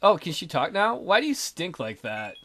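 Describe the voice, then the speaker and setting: A woman's voice: lowish voice, sing-song, normal speed, heavy background noise. A woman hosting a let's play, commenting casually and playfully in an informal way as she reads a game aloud.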